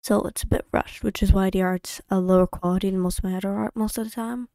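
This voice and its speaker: Woman creator, mid-pitched and smooth, dry close desk mic, chatty rambling voice-over with many restarts. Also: steady tone, mellow, dry recording. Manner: rambling, self-correcting, quick asides. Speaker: YouTube creator. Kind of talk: casual voice-over narration of a game playthrough. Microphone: home desk mic.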